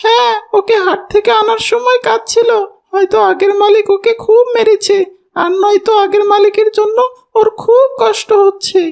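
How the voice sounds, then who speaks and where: A woman voicing a gentle young village boy in a cartoon, earnest and pleading in a childlike way. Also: very high voice, level tone, moderate pace, gravelly. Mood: sad, caring. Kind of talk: cartoon voice acting.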